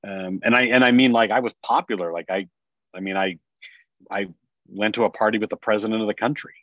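A man's voice: on the low side, steady tone, fairly quick, no echo. A man speaking as a podcast guest, telling his own experience casually in a candid, self-reflective way. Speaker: podcast guest, business executive. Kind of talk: casual personal storytelling in an interview. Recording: dry, slightly dull sound.

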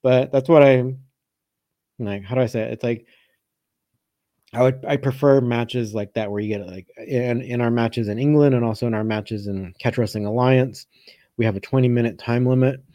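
A man's voice: mid-range voice, fairly even intonation, normal speed, warm tone, dry recording, studio quality. A man hosting a casual live question-and-answer session as a coach, thinking aloud and often rephrasing as he goes. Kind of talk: casual live chat answering viewer comments.

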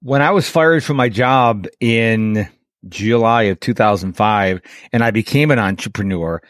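A man's voice: fairly low pitch, measured pace, no room sound, clean studio sound. A middle-aged man hosting a podcast interview, chatty and self-deprecating, telling personal stories. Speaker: podcast host. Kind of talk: casual interview chat.

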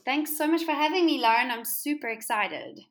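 A woman's voice: high voice, lively intonation, fairly quick, fairly bright tone. A young woman with a South African accent, chatting as a podcast guest, with lots of ums and restarts. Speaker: podcast guest, interior designer. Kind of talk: casual interview chat.

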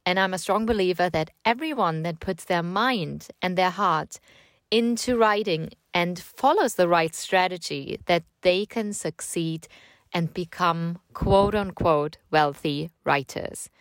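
A woman with a slight German accent, lightly mixed with American and British, talks through a solo podcast in a loose, friendly ramble. Her voice sits fairly low, and the recording is dry and close, with no room sound. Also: unhurried, no room sound. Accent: German, with slight American and British touches. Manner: casual, chatty, repeats words, rambling asides. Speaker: podcast host and writing coach. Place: home in the countryside.

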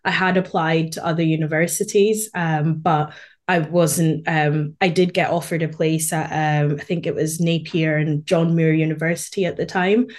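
Low-voiced Scottish woman, thoughtful. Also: steady tone, moderate pace, a little mellow. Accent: Scottish, Edinburgh. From born in Malawi, raised in Scotland. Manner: thoughtful, with frequent fillers and light laughs. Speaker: podcast guest, quantity surveyor. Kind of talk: casual conversational interview. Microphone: remote call.

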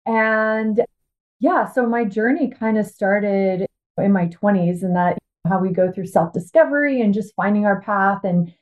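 Casting: female American life coach as podcast guest, informal and enthusiastic, mid pitch, tinny call-quality line. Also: unhurried. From Washington State, United States. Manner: informal, excited. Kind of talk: casual chat, telling personal story. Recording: thin phone-like call audio.

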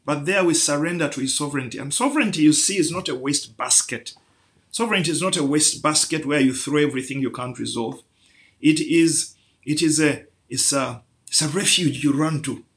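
A man's voice: a little high, a little fast, fairly bright tone. A man preaching a sermon, building through rhetorical questions to emphatic peaks.

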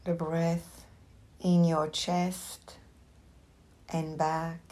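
Slow, low-voiced woman guiding meditation. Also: little pitch movement. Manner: gentle, unhurried instruction with long pauses. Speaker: meditation teacher. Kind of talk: guided meditation.

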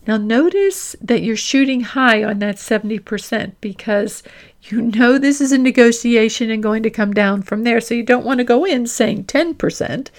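Woman coach explaining in an instructional style. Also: fairly high pitch. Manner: explanatory, emphatic, addresses listener directly. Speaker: career coach and podcast host. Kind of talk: instructional coaching with role-played example dialogue.

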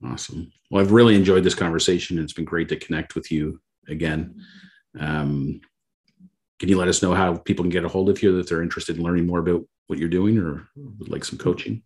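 A man's voice: deep voice, steady tone, fairly quick, darkish tone. A man from Canada hosting a casual interview over a video call, friendly and rambling, full of fillers. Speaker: interview host.

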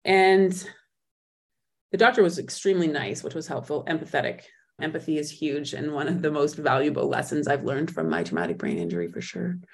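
A woman guest on an interview podcast, recounting her own story in a reflective way, often pausing and restarting as she searches for words. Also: low-pitched, lively intonation. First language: not German; speaks in English.

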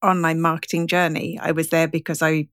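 A woman's voice: deep voice, monotone, a little fast, a bit bright, clear, resonant tone, no echo, studio quality. A woman speaking as a podcast guest, telling her story in a casual, conversational way. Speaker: podcast guest, digital marketing trainer.

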